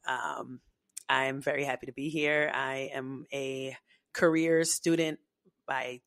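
A young woman from the United States, a podcast guest, talking in a chatty, animated way and laughing often. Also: sits low, no room sound. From United States, Haitian family. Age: young adult. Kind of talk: casual podcast interview.

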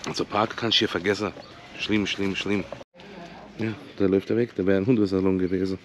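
A man's voice: deep voice, level tone, brisk. A man in his thirties narrating a casual travel vlog outdoors, talking into an action camera at arm's length and mixing in English words. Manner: chatty, mixes in English words. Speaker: travel vlogger. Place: outdoors in old town streets. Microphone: action camera held at arm's length. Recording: noisy outdoor street ambience.

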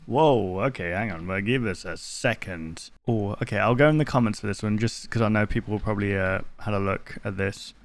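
A man's voice: fairly low pitch, brisk, hardly any pauses. A man in his twenties giving casual reaction commentary as a YouTube host, close to a desk microphone in a bedroom. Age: 20s. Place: bedroom, indoors.

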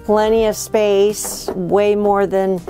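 Homeowner, female, middle-aged, native Spanish speaker from Cuba; casual house-tour delivery, mid pitch, music underneath. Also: little pitch movement, slow, mellow. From born in Cuba. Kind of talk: casual guided home tour. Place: small wood-panelled house interior. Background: background music.

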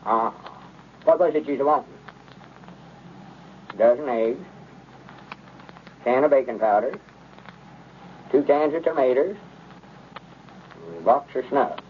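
An actor playing an earnest, folksy old country storekeeper in a radio comedy, speaking homespun talk with a rural Southern accent. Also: male voice, mid-range voice, lively intonation, slow pace, pauses often. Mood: busy, obliging. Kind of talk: 1930s radio comedy acting. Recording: narrow, noisy old radio recording.

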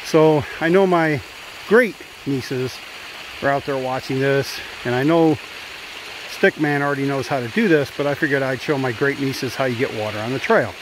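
A chatty man talks into his phone while hiking on a wooded trail outdoors. His voice is of middling pitch, and he is sometimes winded from the climb. Faint wind and running water sit behind him. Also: normal speed. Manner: chatty, narrating while walking. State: out of breath at times. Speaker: hiker vlogger. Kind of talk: casual trail vlog. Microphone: handheld phone. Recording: faint wind and stream noise.

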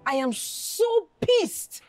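An indignant young Nigerian woman played by an actress in a film drama. Her voice sits fairly high, and she makes her points emphatically, repeating them with exasperated firmness. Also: lively intonation, normal speed, faint music behind, natural voice. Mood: firm, indignant. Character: indignant, principled young Nigerian businesswoman fending off suitor. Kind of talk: naturalistic film drama acting.

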